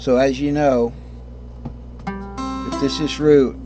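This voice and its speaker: Man, hoarse voice, slow unhurried pace, rambling guitar teacher, faint guitar behind. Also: mid-range voice, level tone. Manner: rambling, self-correcting, clears throat often. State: hoarse, losing his voice. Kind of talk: casual guitar lesson. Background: guitar playing.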